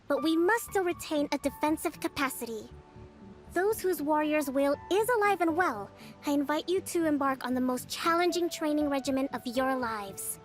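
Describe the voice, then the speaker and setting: A woman voicing a calm young female leader of an island army, speaking in a composed, measured, authoritative way. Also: sits high, lightly acted. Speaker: game character. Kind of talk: video game voice acting. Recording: game audio with faint music.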